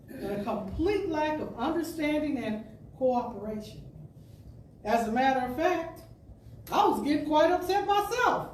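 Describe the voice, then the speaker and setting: A woman with a high voice reads an inspirational spiritual talk as a storyteller would. She takes her time, phrasing deliberately and pausing for emphasis. The sound is echoey, as in a large indoor space. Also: a little mellow. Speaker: storyteller and speaker at a spiritual center. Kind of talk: expressive reading of an inspirational talk. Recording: distant roomy pickup with background noise.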